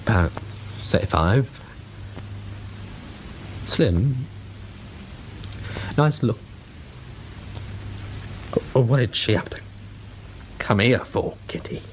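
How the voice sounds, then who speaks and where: An actor playing a soft-hearted, anxious English chip shop man in a radio drama, hesitant and easily rattled. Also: medium pitch, slight background noise, low-quality recording. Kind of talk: British radio drama acting.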